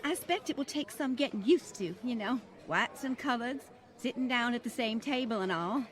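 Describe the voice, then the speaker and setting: A woman acts out a genteel employer in a radio-style drama. Her voice is high, and she speaks with sugary, patronizing politeness. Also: animated, fairly quick, lightly acted. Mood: gracious, awkward. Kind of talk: old-time radio style drama dialogue.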